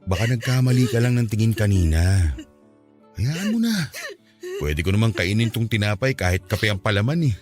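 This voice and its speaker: A man playing a patient, loving husband in a radio drama, speaking gently and reassuringly. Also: on the low side, very animated, moderate pace. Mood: soothing. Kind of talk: Filipino radio drama acting. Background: soft background music.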